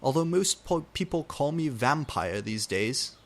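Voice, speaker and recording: Man doing a high, shy gothic vampire boy. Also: lively intonation, a little slow. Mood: sheepish.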